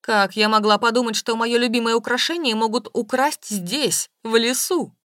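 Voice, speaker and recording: A woman's voice: medium pitch, lively intonation, normal speed, fairly bright tone, no room sound, studio quality, lightly acted. A woman voicing a histrionic, quarrelsome wealthy lady, dramatic and defensive, in an audiobook. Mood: distraught, defensive.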